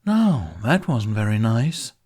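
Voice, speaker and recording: A man's voice: lowish voice, very animated, moderate pace. A man voicing a mischievous male winter spirit who drawls and teases, in a read-aloud story. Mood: teasing. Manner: drawling, teasing.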